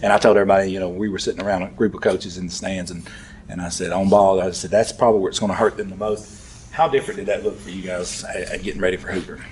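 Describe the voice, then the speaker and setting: A man hosting a sports talk show, asking drawn-out, hesitant questions. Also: sits low, steady tone, darkish tone, a little hiss or hum, rough recording. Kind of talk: interview questions.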